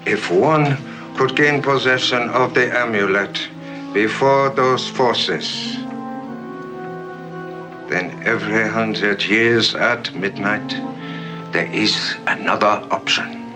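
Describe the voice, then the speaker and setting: A solemn older man, explaining ancient prophecy in a film. His delivery is very slow and weighty, mid-pitched, with music playing clearly behind him. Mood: solemn, ominous. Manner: solemn, measured, portentous. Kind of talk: dramatic film dialogue.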